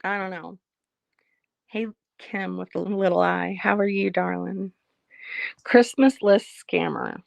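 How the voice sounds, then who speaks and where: A woman hosting a casual livestream, reading out viewer comments and speaking frankly about her life, slightly hoarse as she loses her voice. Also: on the low side, slow, long pauses, no echo, muffled recording. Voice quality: frank, blunt, swears freely. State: losing her voice, a bit hoarse. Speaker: livestream host.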